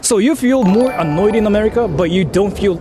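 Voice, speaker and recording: A man from Japan with a Japanese accent chatting casually to livestream viewers in animated, repetitive, halting phrases. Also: sits high, over music. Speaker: live-streamer. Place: outdoors in a city.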